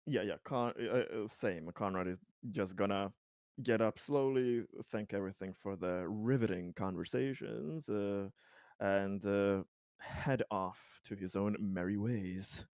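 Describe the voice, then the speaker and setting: A man playing a tabletop roleplaying game, talking haltingly and repeating his words. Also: on the low side, a little mellow, no echo, clean studio sound. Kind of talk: in-character roleplay and table chat.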